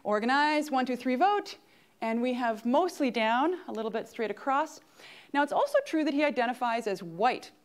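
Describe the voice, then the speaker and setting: A woman's voice: sits high, expressive, normal speed. Woman lecturing a hall with a Canadian accent. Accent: Canadian English. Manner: engaging, checks in with the audience often. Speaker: guest speaker at grand rounds. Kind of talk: interactive lecture with audience voting. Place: large auditorium stage.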